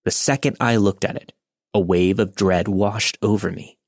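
A man hosting a podcast, reading a listener's story aloud in a steady, measured way. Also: on the low side, no room sound, clean studio sound. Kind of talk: scripted narration.

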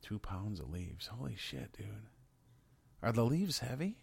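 A man co-hosting a podcast in casual chat, excitable and swearing as he riffs on questions. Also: medium pitch, unhurried, creaky, a little hiss or hum. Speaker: podcast co-host.

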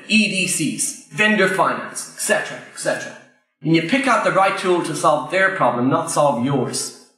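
An Irish man with a high, bright voice that rings through a very echoey room. He talks to a live audience like a coach, hammering key points by saying them twice and calling out to the crowd. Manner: emphatic, repeats key phrases, addresses the crowd directly. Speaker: property investment trainer.

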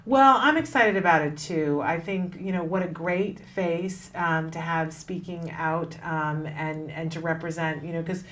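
A woman hosting an interview, speaking in an emphatic, expressive way. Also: on the low side, measured pace, few pauses. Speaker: host.

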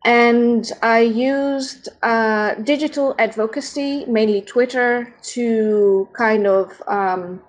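A Dutch woman with a Dutch accent, a podcast guest who speaks in a halting, thoughtful way while searching for words. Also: fairly high pitch, fairly even intonation. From Netherlands. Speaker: podcast guest, founder of an organization. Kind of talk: casual interview conversation.

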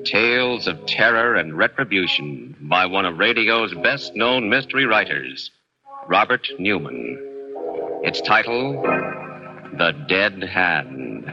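A man's voice: low voice, lively intonation, takes it slowly. An American man announcing and narrating a 1940s suspense radio show in a solemn, ominous manner. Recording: dull 1940s radio recording. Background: music.

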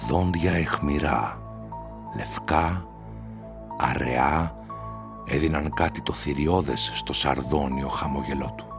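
A man reading a literary story as narrator, in a dramatic, scripted storytelling style. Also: rumbling low. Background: music.

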